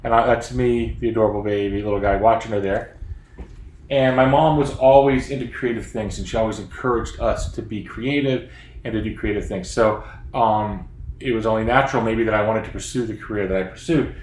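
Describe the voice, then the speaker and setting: A man's voice: lowish voice, brisk, darkish tone. A man in his fifties, a children's book author from Florida, chatting casually and joking at his own expense from his home office. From Florida, USA. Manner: chatty, self-deprecating, joking. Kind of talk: casual chat to young readers. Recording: roomy office sound, faint background noise.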